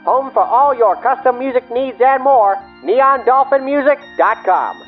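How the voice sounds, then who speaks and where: A woman's voice: sits high, lively intonation, moderate pace, phone-quality sound. A woman announcer reading scripted podcast credits. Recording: band-limited radio-like sound over faint music.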